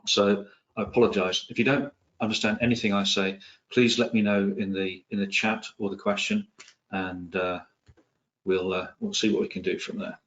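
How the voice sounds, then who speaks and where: A middle-aged man from England, his accent softened by years in New Zealand, giving an online talk as a psychiatrist, with many ums, restarts and dry asides. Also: lowish voice, little pitch movement, normal speed, dull audio. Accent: English, with some New Zealand influence. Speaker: psychiatrist and presenter. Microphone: video call.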